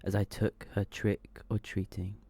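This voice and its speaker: A man reading short horror stories in a soft, breathy, hushed ASMR style, often slipping toward a whisper. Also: lowish voice, completely flat delivery. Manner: slow, hushed, subdued delivery. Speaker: ASMR storyteller.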